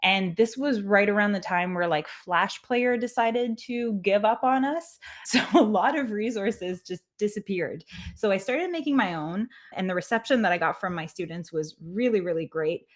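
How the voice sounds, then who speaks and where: A woman's voice: mid-range voice, moderate pace. A woman in her thirties from Quebec whose first language is French, hosting a teaching webinar in a chatty, self-deprecating way, laughing often and filling with "like". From Quebec, Canada. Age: 30s. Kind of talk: casual webinar talk.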